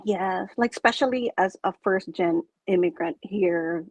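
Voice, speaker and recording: A woman's voice: medium pitch, measured pace, breathy edge, no room sound, lo-fi sound. A woman with a Filipino accent, an interview guest and coach, talking in a chatty, enthusiastic way on a video call. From Philippines.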